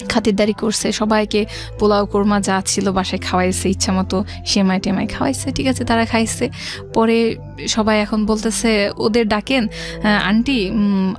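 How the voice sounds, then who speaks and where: A woman from Rajshahi with a Bangladeshi accent, telling her life story conversationally as a radio guest and mixing in English words. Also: mid-range voice. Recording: background music under the voice. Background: music.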